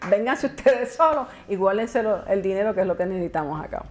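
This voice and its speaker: A woman with a Puerto Rican accent speaks to a conference audience at a medium, ordinary pitch. She explains things informally, stepping aside now and then to comment in a chatty tone. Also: animated, brisk, a little hiss or hum. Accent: Puerto Rican Spanish. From Puerto Rico. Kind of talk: conference presentation. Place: conference hall.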